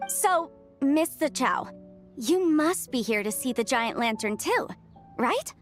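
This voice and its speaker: A very high, animated young woman, bubbly and curious. She chats enthusiastically as a game character, her pitch leaping about over faint music. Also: takes it slowly. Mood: delighted, curious. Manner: bright-eyed, enthusiastic, conversational. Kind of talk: video game character acting.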